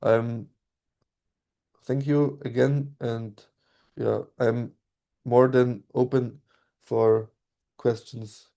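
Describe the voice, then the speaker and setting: A man whose first language is German, presenting an online study-abroad info session in a steady voice with frequent ums. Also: mid-range voice, extremely slow, gravelly, pauses often. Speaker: study abroad program representative.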